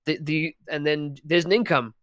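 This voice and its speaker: A man's voice: fairly high pitch, steady tone, normal speed, a bit bright, dry recording, studio quality. A young man from South Africa with a South African accent, chatting as a podcast guest, talking freely with frequent restarts and laughs. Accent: South African English. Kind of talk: casual podcast chat.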